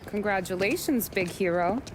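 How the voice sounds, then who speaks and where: A woman's voice: mid-range voice, lively intonation, moderate pace, natural voice. A woman playing a teasing, sassy young in a gangster video game. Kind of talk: video game character acting. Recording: noisy ambience behind the voice.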